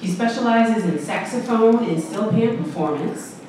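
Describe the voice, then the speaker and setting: A woman's voice: on the high side, lively intonation, normal speed, warm tone. A woman hosting a university celebration, announcing the program in an upbeat way. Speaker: event emcee. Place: university event room. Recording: echoey hall, distant mic, some noise.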